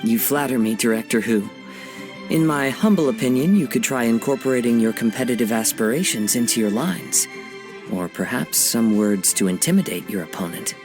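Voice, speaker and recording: A man voicing a calm, humble young samurai poet who speaks softly and politely, in a video game cutscene. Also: medium pitch, normal speed, close to an everyday voice. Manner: soft-spoken, polite, humble. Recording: game audio with music behind.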